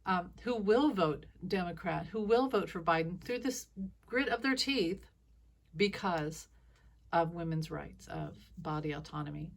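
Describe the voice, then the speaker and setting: Scratchy-voiced woman rambling solo. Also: sits low. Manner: rambling, chuckling asides. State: scratchy voice from allergies. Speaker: psychic commentator. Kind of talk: casual monologue. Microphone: phone.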